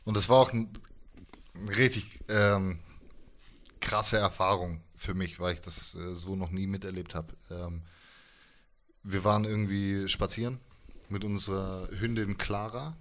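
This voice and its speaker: A middle-aged man telling a personal story as an interview guest, thoughtful and halting, in colloquial words. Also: sits low, fairly even intonation, fairly bright tone. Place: indoors, living room with instruments. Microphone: handheld microphone held close.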